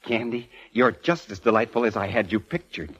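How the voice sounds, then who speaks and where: An actor playing an eccentric, gleefully morbid ageing composer in a 1940s radio drama, with a flamboyant, florid manner. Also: male voice, medium pitch, lively intonation, normal speed, a bit bright, husky. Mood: amused, gleeful. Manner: flamboyant, effusive, chuckling, florid. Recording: muffled vintage 1940s radio recording.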